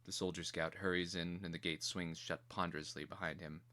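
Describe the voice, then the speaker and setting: Casting: male narrator for fantasy audio drama, very low voice with a forceful edge, measured and theatrical. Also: fairly even intonation.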